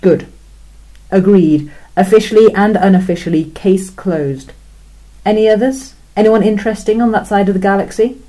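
A skeptical alien official, voiced by a woman, with a warm, mellow tone at a middle pitch. She questions everything with wry incredulity, in a science fiction story read aloud. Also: moderate pace, lightly acted, low-quality recording. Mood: incredulous.

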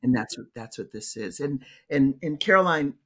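A woman's voice: sits low, lively intonation, moderate pace, a bit bright, slightly rough. A middle-aged woman, a professor, speaking emphatically and in a preacherly way in a casual theological discussion, recorded over a video call from a home office. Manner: emphatic, preacherly, gestures while talking. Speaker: professor and podcast co-host. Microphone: video call webcam.

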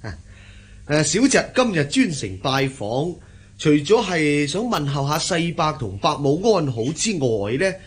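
An obsequious young gentleman in a period radio drama. His voice is fairly high and swings eagerly up and down, all courtesy and flattery, with a Hong Kong Cantonese accent. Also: measured pace, slightly rough, full character voice. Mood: polite, probing. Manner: deferential, obsequious, effusively courteous. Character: polite, flattering young man, a visiting family friend's son.

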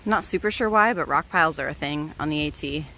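A woman's voice: low-pitched, a little fast, bright, forceful tone, a little hiss or hum, poor audio. A woman vlogging from a hiking trail, chatting casually to the camera outdoors.

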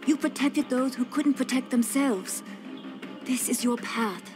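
A woman's voice: high voice, a little slow, over music. An actress playing an earnest, principled woman appealing to others in a video game cutscene. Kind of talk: dramatic game cutscene acting.

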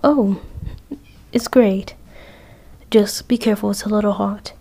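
Girl doing a soft shy bunny boy, kind, mellow and slow. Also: medium pitch, fairly even intonation, gravelly. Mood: friendly.

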